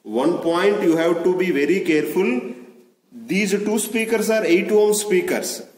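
A man's voice: high-pitched, expressive, measured pace, darkish tone. Echoey, distant young man teaching. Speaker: lab instructor. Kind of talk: instructional demonstration. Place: large indoor lab room with hard surfaces. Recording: distant mic in very echoey hall.

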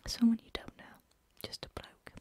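A British woman whispering slowly for an ASMR video, softly repeating single words over and over. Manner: soft whisper, slow deliberate repetition of words. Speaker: ASMR creator.

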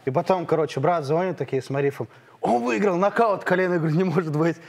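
Fast-talking man telling a story. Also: fairly high pitch, creaky. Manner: animated storyteller quoting himself. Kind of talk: telling a story in studio chat.

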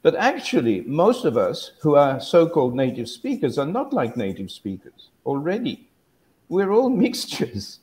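A man's voice: a little high, huge pitch swings, measured pace, a little mellow. An older man talks in a loose, wandering way, restarting his sentences as he thinks aloud. He sounds like he is on a video call from a room at home. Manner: rambling, self-correcting, musing. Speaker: guest academic. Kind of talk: casual discussion on a video call.

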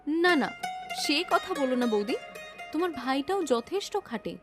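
A woman voicing a modest, contented housewife with a gentle, polite manner, in a cartoon moral story. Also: high voice, sing-song, moderate pace, over music. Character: modest, contented, patient village sister-in-law and housewife.

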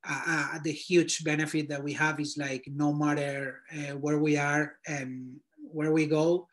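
Man in his thirties, Spanish accent, slightly high voice, rambling and filler-heavy, laptop mic in a small home room. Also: flat delivery, measured pace. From Spain. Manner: loose, filler-heavy, thinking aloud. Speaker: guest portfolio reviewer. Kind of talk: casual video call talk. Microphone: laptop webcam video call.

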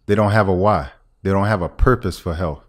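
Middle aged american guy on a podcast, lowish voice, explains stuff and checks you get it. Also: fairly quick. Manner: explanatory, checks listener understands. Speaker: podcast guest, health expert. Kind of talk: conversational interview. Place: small indoor room at a table. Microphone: podcast microphone on boom arm.

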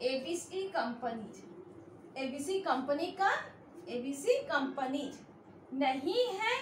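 A middle-aged woman teaching a language lesson at a whiteboard, slowly repeating each phrase for her learners. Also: sits high, expressive, roomy sound, a little hiss or hum, rough recording. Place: indoor room in front of a whiteboard.

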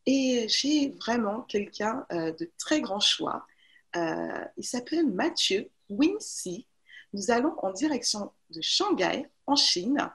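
A woman from French Guiana hosting an interview over a video call in a halting, enthusiastic way. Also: fairly high pitch, very animated, a bit bright. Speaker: interview show host. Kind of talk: interview hosting, conversational introduction.

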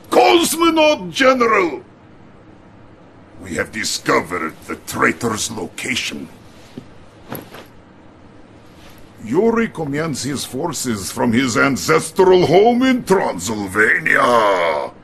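An actor playing a jovial, bombastic middle-aged Soviet premier with a Russian accent and exuberant delivery in a game cutscene. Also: male voice, on the high side, wildly swooping pitch, slow, creaky, a little hiss or hum, heavily acted. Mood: grave, conspiratorial. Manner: exuberant, swinging between shouts and hushed scheming. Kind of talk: theatrical video game cutscene acting.

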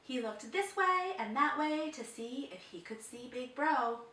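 A woman in her thirties reading a picture book to children in a dramatic, deliberate way. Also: sits high, lively intonation, bright, forceful tone. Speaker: children's storyteller. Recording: roomy, distant microphone.

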